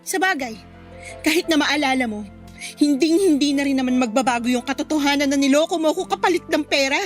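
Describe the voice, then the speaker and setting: A woman's voice: high-pitched, fast talker, faint music behind, close to an everyday voice. A woman acting a hurt, furious young woman in a Filipino radio drama, with an emphatic, accusing delivery. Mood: angry, accusing. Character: hurt, furious young woman confronting her cheating boyfriend.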